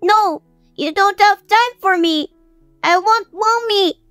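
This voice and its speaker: A woman's voice: slow pace, a bit bright, dry recording, soft background music, heavily acted. Woman doing a tiny pleading little boy. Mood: pleading, tearful. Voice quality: high childlike whine. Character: small crying little boy, clingy.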